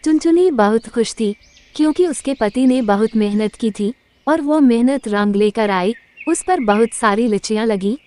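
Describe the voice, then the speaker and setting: High-voiced woman narrating a children's story. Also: unhurried, a little mellow.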